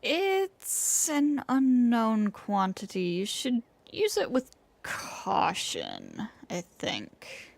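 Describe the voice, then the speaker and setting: A woman streamer giving casual live commentary on a game, thinking aloud in a halting way. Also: fairly high pitch, wildly swooping pitch, creaky.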